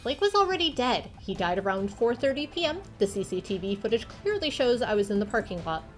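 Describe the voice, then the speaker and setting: A woman's voice: fairly high pitch, very animated, a little fast, a little hiss or hum. A woman voicing a confident, wry actress turned amateur sleuth in a video game. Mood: determined.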